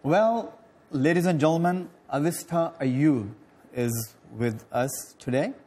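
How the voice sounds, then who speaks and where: A man from Pakistan hosting a studio interview show, speaking haltingly with many fillers and restarts. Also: a little high, dark tone, husky, faint music behind. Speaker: TV talk show host.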